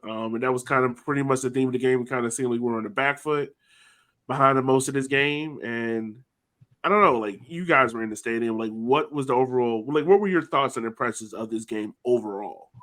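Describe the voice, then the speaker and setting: A man's voice: mid-range voice, fairly quick, fairly bright tone. A man hosting a sports podcast in a loose, joking way, with swearing and lots of "like" and "um". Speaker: podcast host. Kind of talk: casual sports podcast chat.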